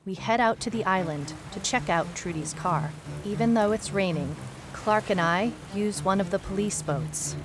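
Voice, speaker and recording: A woman's voice: medium pitch, normal speed, noisy background, lightly acted. A woman playing a wry detective who recounts a strange case in a dry, storytelling way, as scripted audio drama narration. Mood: wry, matter-of-fact.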